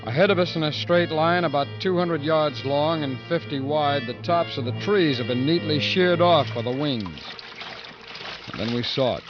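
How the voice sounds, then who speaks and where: Man playing convict ringleader, highish voice, clipped and dramatic, 1950s radio thriller, muffled vintage recording with music. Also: normal speed, natural voice. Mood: grim, narrating. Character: tense escaped-convict leader narrating his own story. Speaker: lead actor and first-person narrator. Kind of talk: 1950s radio suspense acting.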